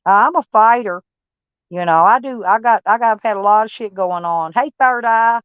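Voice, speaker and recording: A woman's voice: on the high side, moderate pace, clean tone, no room sound, muffled recording. Woman with a Texas twang. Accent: Texan. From Kentucky, USA. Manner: rambling, folksy, blunt and swearing. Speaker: livestream host. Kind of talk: casual live-stream chat with viewers.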